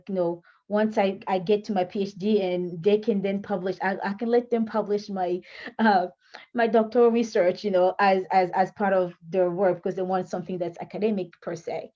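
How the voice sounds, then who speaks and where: A woman's voice: mid-range voice, warm tone, muffled recording. A woman whose first language is French, coaching writers in a chatty, self-correcting way, laughing between points. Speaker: writing coach. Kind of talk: casual teaching talk to camera.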